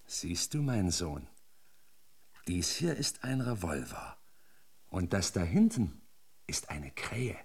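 An actor in a German radio drama playing a menacing, falsely kindly marshal who lectures sardonically, with a husky voice. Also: male voice, deep voice, very animated, takes it slowly, pauses often, darkish tone, heavily acted. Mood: mock-kind menace. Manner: mock-paternal, sardonic lecturing with sudden barked commands.

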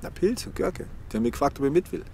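An actor in a German radio drama playing an earnest, idealistic young engineer who talks colloquially and with emphasis. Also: male voice, fairly high pitch, lively intonation, racing, gravelly, warm tone, slight background noise. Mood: eager, confiding.

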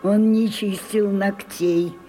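A woman performs a proud old French tragedienne in a radio play, weary yet defiant. Her delivery is drawn-out and theatrical, in a low-to-middle register that falls into breathy whispers, over prominent music. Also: steady tone, dark tone, close to an everyday voice, low-quality recording. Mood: weary, musing. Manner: slow, declamatory, brooding, with breathy whispered phrases. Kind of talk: theatrical radio drama acting.

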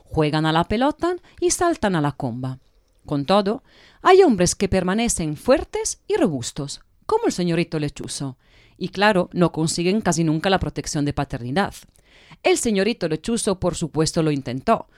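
Woman as wry narrator, lively intonation, mid pitch, close dry sound, scripted audio drama. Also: normal speed, no room sound. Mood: wry, explanatory. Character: wry female voice-over narrator addressing listeners. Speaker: voice-over narrator.

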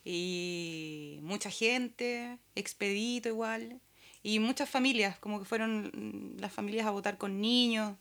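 A woman's voice: medium pitch, a little slow, a bit bright. A woman with a Chilean accent, co-hosting a radio show with casual talk and scripted announcements.

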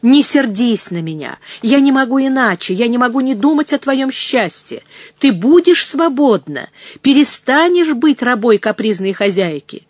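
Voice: mid-range voice, expressive, measured pace, a little mellow, no room sound. A narrator voicing a jealous, passionate male suitor, wheedling and threatening by turns in an audiobook. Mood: pleading. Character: jealous, ardent suitor, menacing then pleading man. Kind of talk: dramatised audiobook reading.

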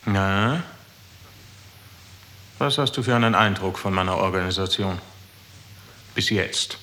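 An actor playing a smug, coldly courteous chief of a sinister bureaucracy in a 1960s radio play. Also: male voice, deep voice, sharp tone. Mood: smug, proud. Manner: deliberate, self-satisfied, coldly courteous. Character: smug, cold male chief of a death bureaucracy. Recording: old radio recording with faint hiss.

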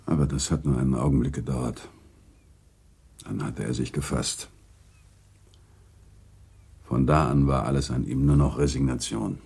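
A man playing a grave, courteous gentleman in a radio drama, speaking in a measured, formal and resigned manner. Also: a bass voice, vocal fry, husky, exaggerated voice. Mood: sober, moved. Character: grave, courteous gentleman, confidant and second in a duel. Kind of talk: German radio drama acting.

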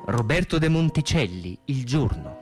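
A man delivers scripted narration for radio theatre with deliberate expression. His mid-pitched voice moves through lively intonation, and faint music plays beneath it. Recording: music under the voice.

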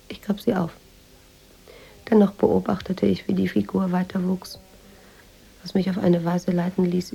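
Gravelly, confiding troubled woman writer. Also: lowish voice, warm tone, exaggerated voice, poor audio. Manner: measured, confiding, literary. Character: troubled, introspective American woman writer in Tangier. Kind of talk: radio drama monologue.

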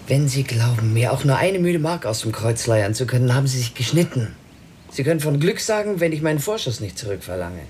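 A hard, bitter widow in a radio drama. Her female voice is low but swings widely in pitch, curt and dismissive with anger. Faint noise sits in the background. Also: sing-song, fairly quick, a little mellow. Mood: scornful. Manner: cutting, dismissive. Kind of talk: German radio drama acting.

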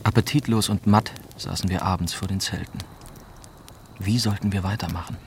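A young newspaper correspondent in a radio drama, recounting a dangerous investigation. His voice is low, and his telling is sober and literary, in the first person. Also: fairly even intonation, normal speed, a little hiss or hum. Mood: uneasy, downcast. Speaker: narrator.

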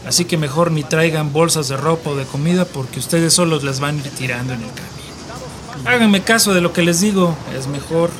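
An actor in a radio drama playing a hard-nosed, menacing Mexican migrant smuggler, talking bossy street slang in a northern Mexican accent. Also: male voice, a little high, a little fast, noisy background, natural voice. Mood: businesslike.

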